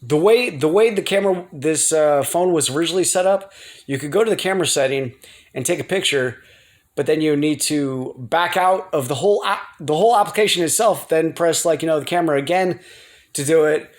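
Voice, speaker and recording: An American man rambling casually to viewers in a vlog, halting often, repeating himself and circling back. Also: on the high side. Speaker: video blogger.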